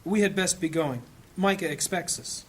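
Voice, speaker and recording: A man voicing a gentle, anxious, pious priest with a husky voice in a fantasy audio drama. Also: moderate pace. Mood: sorrowful, conciliatory. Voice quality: high, husky.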